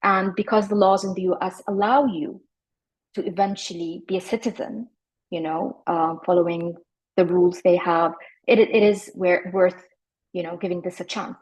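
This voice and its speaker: A woman in her forties, from Iraq, talking reflectively as an interview guest, often pausing with fillers and restarting her sentences. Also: fairly low pitch, fairly even intonation, rough recording. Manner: thoughtful, many fillers and restarts.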